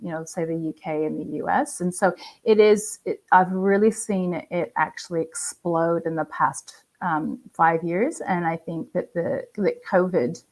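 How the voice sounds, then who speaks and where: A woman who works in change management, answering interview questions in measured, step-by-step explanations over a video call. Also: fairly low pitch, fairly even intonation, rough recording. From not from Australia. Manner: measured, walks through history step by step.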